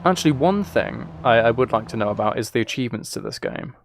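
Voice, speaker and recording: Creaky-voiced man commentating on a game. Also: medium pitch, animated, fast talker, a little hiss or hum. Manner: dry, flippant, rambling asides. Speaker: streamer playing a video game. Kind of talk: casual gameplay commentary.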